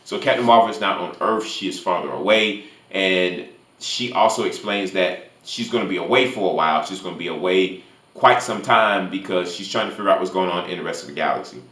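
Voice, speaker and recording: Low-voiced man reviewing a film, roomy sound. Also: fairly bright tone. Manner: halting, repeats and restarts phrases. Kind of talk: casual spoiler movie review.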